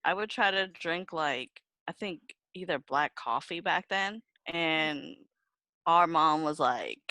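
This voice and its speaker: A woman's voice: sits low, slow pace, dry recording. A young woman co-hosting a casual podcast chat, swearing freely and acting out what people said to her as she tells stories. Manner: sweary, animated storytelling with acted-out quotes. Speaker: podcast host. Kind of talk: casual, unfiltered group chat.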